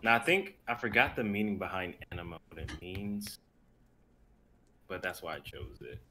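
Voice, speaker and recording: A man's voice: low voice, lively intonation, moderate pace, very noisy. A man in his twenties chatting casually and reading his script on a group video call. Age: 20s. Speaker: writer and performer on the stream. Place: indoor room on a video call.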